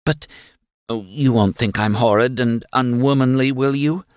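Man putting on a high, coy, coaxing young woman. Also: a little slow, dry recording, clean studio sound, close to an everyday voice. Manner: purring, coy, wheedling.

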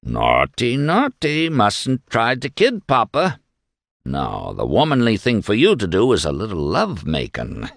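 A man's voice: lowish voice, wildly swooping pitch, unhurried, a bit bright, no room sound, clean studio sound, exaggerated voice. A man voicing a crude, smug fascist militia boss in an audiobook, slangy, boastful and condescending. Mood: leering.

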